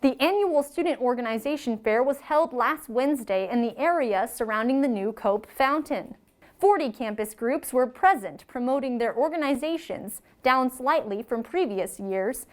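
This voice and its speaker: High-voiced young woman reporting news. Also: expressive, moderate pace. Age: young adult. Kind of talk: scripted news narration.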